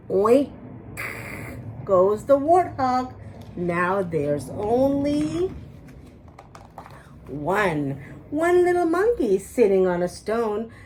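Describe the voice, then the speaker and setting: Woman, children's read-aloud host, higher voice, very animated intonation, sing-song rhyming delivery. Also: a little hiss or hum, low-quality recording. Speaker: storytime host reading picture books aloud.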